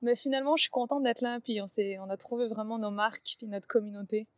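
A woman from France, a guest in a casual interview, talking in a relaxed way with many fillers. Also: a little high, fast, no echo. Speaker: interview guest, dance artist and communication trainer.

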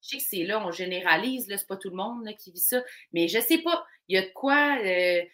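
A woman's voice: mid-range voice, a little fast. A woman in her thirties with a Québécois accent, a comedian guest talking animatedly and laughing often. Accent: Québécois French. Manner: animated and laughing, with many restarts. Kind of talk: casual conversation.

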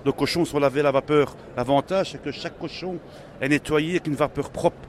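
A man's voice: fairly high pitch, fairly even intonation, brisk, slight background noise. A man from Belgium with a Belgian French accent, a pork company sales manager, explaining his farm in detail at a trade fair interview. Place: busy trade fair hall.

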